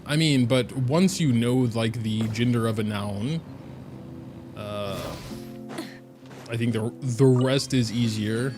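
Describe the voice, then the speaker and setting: A man's voice: mid-range voice, slow pace, long pauses, over music. German-accented man streaming a game. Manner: rambling, thinking aloud while playing. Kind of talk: casual live-stream commentary.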